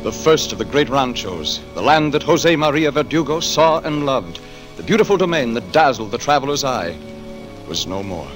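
A man's voice: mid-range voice, sing-song, moderate pace, faint music behind, poor audio. A man reading scripted narration for a 1940s radio drama in a dramatic, declamatory manner.

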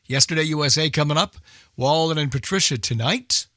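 A man's voice: fairly high pitch, fairly quick, sharp tone, no echo, studio quality. A man hosting a radio show, giving casual, rambling unscripted announcements in an informal way.

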